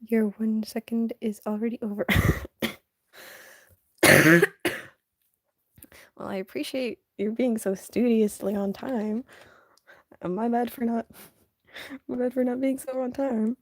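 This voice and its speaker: A young woman chatting casually on a live stream while she draws, soft and half-whispered, sniffly, her voice going hoarse. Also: on the high side, fairly even intonation, takes it slowly, pauses often, no room sound, clean studio sound. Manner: soft, often half-whispered, hesitant and rambling.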